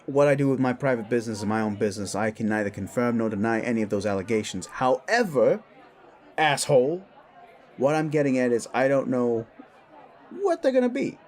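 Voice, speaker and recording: A brash werewolf bully boss, played by a man in an audio drama. He is short-tempered and sweary, quick to get defensive, and his pitch jumps about over a faint murmur of people. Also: big pitch swings, darkish tone, some chatter behind. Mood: flustered, defensive.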